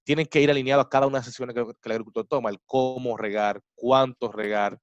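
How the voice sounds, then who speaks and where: A man's voice: mid-range voice, steady tone, fast talker, no room sound. A man moderating a farming webinar, thinking aloud with frequent restarts. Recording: dry, muffled online call.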